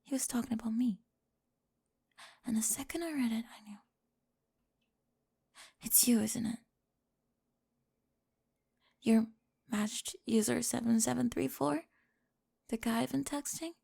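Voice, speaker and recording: Casting: adult woman as teen cheerleader bully gone vulnerable, high creaky voice, breathy near-whisper, emotional ASMR roleplay confession. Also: steady tone, unhurried, pauses often, mellow, exaggerated voice. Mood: nervous, stunned. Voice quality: breathy, often dropping to a whisper. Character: high school cheerleader bully, remorseful, vulnerable, lovestruck teenage girl. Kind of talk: ASMR romantic roleplay monologue.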